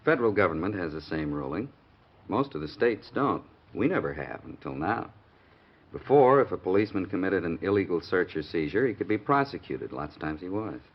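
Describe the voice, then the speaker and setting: Deep, measured 1950s radio sergeant. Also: male voice, sing-song, exaggerated voice. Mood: explaining. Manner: measured, questioning. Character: calm, probing male police sergeant. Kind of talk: 1950s radio police drama. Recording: dull old radio recording.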